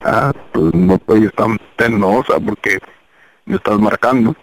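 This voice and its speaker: A mechanic from Jalisco, answering a phone call in a relaxed, guarded-then-friendly way. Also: male voice, on the low side, unhurried. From Jalisco, Mexico. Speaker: mechanic, prank target.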